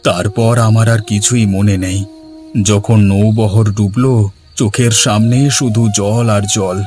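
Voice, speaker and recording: অভিনেতার কণ্ঠে কার্টুনের আন্তরিক গ্রাম্য বাবা, মাঝারি-নিচু বাস্তব গলা. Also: male voice, lowish voice, steady tone, measured pace, darkish tone, lightly acted. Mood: earnest, recalling. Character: long-lost village father, gentle, earnest husband. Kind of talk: cartoon story dialogue. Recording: music behind the voice.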